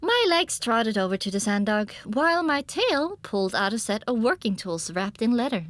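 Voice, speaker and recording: A woman narrating a scripted first-person story for an audiobook in an expressive storytelling manner. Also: a little high, moderate pace, dry recording.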